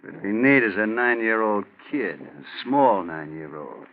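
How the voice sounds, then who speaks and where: A man's voice: lowish voice, big pitch swings, a little slow. An actor playing a sour, cautious crook with grumbling, sardonic tough-guy talk in a 1940s radio crime drama. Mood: calculating. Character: sour, skeptical male crook, cautious and weary of schemes. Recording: thin, tinny 1940s radio recording.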